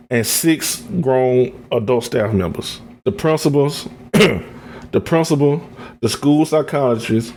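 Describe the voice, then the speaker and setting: American male podcast host, mid-pitched, informal and opinionated, colloquial phrasing with repetition for emphasis. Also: darkish tone. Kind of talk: informal commentary, partly read from notes.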